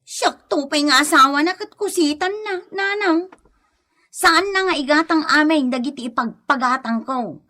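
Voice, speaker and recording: A woman's voice: high voice, moderate pace. A woman playing an exasperated, insistent young wife in an Ilocano radio comedy drama. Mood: complaining. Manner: scolding, insistent, sharp. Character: Rona, exasperated young wife scolding her husband.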